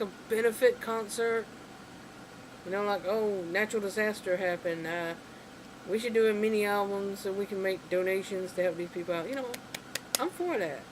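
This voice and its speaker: A casual vlogger rambling through an exasperated rant, full of fillers and restarts. The voice sits at an ordinary middle pitch. A steady air-conditioning hum fills the noisy room behind it. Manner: rambling, exasperated, heavy on "you know" and "like". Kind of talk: casual opinion rant to camera.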